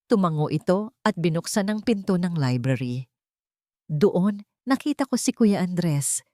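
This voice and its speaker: A woman reads a dramatic first-person romance story as its narrator. Her voice is fairly low and very mellow, in a very dry recording with no room sound. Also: lively intonation, measured pace, no room sound.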